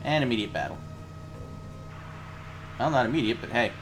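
A man's voice: mid-range voice, lively intonation, normal speed, background noise. A man giving casual commentary while playing a video game with friends. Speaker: player in a group game playthrough. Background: video game music.

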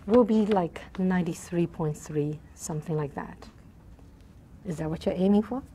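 A soft-spoken middle-aged woman lecturing on math in a large hall, often checking in with her class. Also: fairly low pitch, moderate pace, slight background noise. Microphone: lapel mic.

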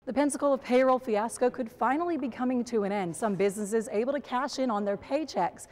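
A woman's voice: fairly high pitch, brisk, darkish tone, faint music behind. Young woman, polished TV news reporter. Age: young adult. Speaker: TV reporter and host. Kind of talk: polished scripted broadcast narration.

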